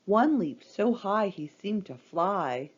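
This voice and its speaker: A woman reads a children's storybook aloud with a very lively, swooping delivery. Her voice sits at a medium pitch and plays up the drama for young listeners. The recording sounds slightly dull and lo-fi. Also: slow, slightly rough. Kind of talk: scripted read-aloud storytelling.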